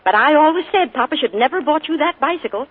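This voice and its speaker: A woman's voice: sits high, lively intonation, quick pace. An actress playing a fond, chatty middle-aged mother in a 1940s radio drama, on a tinny old broadcast. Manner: chatty, doting, reminiscing.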